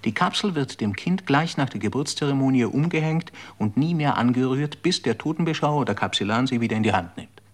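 A man's voice: medium pitch, lively intonation, a little fast, few pauses, lightly acted. An actor in a German radio play playing a worldly, somewhat condescending man who explains how his society works. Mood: lecturing. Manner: lecturing, slightly condescending.

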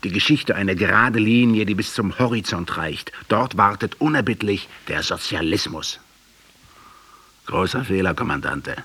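An actor in a German radio play voicing a sceptical, combative intellectual who questions and accuses, with a husky voice. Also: male voice, lowish voice, animated, moderate pace, sharp tone, exaggerated voice. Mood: reproachful.

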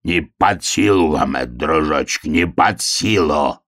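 A man voicing a sly, menacing storybook wolf who speaks with mock politeness in an unctuous, husky, drawn-out way. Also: very deep voice, fairly bright tone, heavily acted. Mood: taunting. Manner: unctuous, wheedling, drawn-out words. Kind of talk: storybook character reading.

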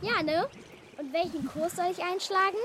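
Eine Frau spricht in einem deutschen Hörspiel ein mutiges, fantasievolles Mädchen, eifrig und beharrlich. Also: female voice, extremely high pitch, normal speed, noisy background. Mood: confident. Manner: eager, insistent, persuasive. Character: bold, imaginative, stubborn young girl adventurer. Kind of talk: German radio play acting.

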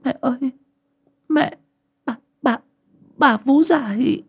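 A man's voice: extremely high pitch, measured pace, vocal fry, darkish tone, pitched above the performer's own voice, exaggerated voice. A man voicing a frightened little girl who stammers and whimpers, in a storybook reading. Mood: whimpering.

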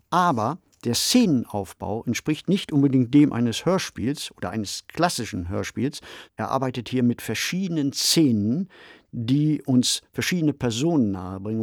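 Man, mid-pitched voice, analytical commentary, measured, dry sound. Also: a little mellow, dry recording. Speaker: podcast commentator on radio plays. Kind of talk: explanatory literary commentary.

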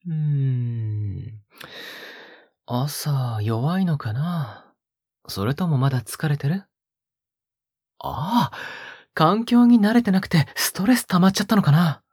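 A male actor playing a possessive young captor in an audio drama, coaxing and teasing in a soft, breathy voice as if talking to a pet. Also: fairly high pitch, animated, takes it slowly, pauses often, no room sound, clean studio sound, full character voice. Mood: mock concern. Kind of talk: intimate Japanese audio drama acting.

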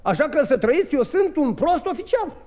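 An actor playing a cheerful, rambling Czech soldier who plays the naive, obedient fool in a 1950s radio drama. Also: male voice, very high voice, big pitch swings, a little mellow. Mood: obediently foolish.

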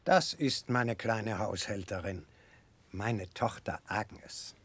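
Genteel, kindly father in a vintage radio play; formal, measured delivery, slightly low husky voice. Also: fairly bright tone, heavily acted. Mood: courteous, kindly. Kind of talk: 1960s German radio drama acting.

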